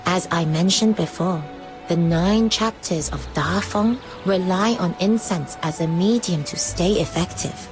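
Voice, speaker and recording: A woman voicing a calm, wise female guide in a video game, measured and gently instructive. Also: on the low side, over music, lightly acted. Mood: serene, instructive. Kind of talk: video game character acting.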